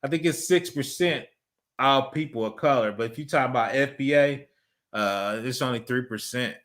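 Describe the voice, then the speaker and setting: A man's voice: medium pitch, moderate pace, a bit bright. A man, chatting casually on a livestream, reading viewer messages, laughing often and rambling through asides. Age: 50s. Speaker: livestream host reading super chats.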